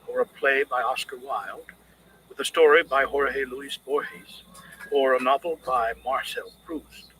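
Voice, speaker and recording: A man narrates an audiobook with a bright, forceful voice set slightly high. His delivery is measured and literary, with animated intonation that shapes each phrase. A faint layer of noise sits behind the reading. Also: poor audio.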